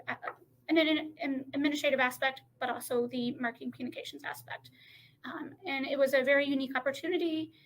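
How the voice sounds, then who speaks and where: A middle-aged woman speaking as a podcast guest in a halting, unhurried way, pausing often to find her words. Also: sits high, a bit bright, a little hiss or hum, low-quality recording. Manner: halting, frequent pauses and restarts. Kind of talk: casual interview talk.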